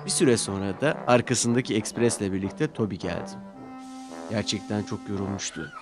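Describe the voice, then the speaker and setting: A man narrating a children's cartoon story in a lively storytelling manner. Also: on the low side, normal speed, music in the background.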